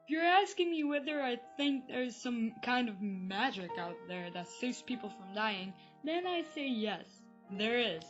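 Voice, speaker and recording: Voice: medium pitch, lively intonation, a little slow, few pauses, fairly bright tone. A woman playing a frank, skeptical but loyal child, a best friend on the phone in an audio drama. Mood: concerned, reassuring. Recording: muffled telephone-style sound, music behind.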